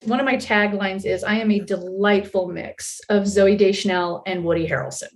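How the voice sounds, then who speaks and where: Wry, chatty woman with medium pitch. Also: moderate pace. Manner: wry, self-deprecating, animated storytelling. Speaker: voice actor being interviewed. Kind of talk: casual chat.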